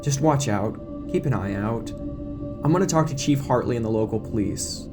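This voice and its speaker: A man's voice: mid-range voice, animated, normal speed, close to an everyday voice. A man playing an earnest, protective, wordy young small-town deputy in an audio drama. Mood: worried. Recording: music bed behind the voice.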